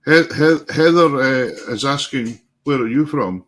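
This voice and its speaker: A man's voice: medium pitch, a little slow, a bit bright. A Scottish man hosting an online music show, chatting casually in a folksy, informal way. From Scotland. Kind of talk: casual live chat.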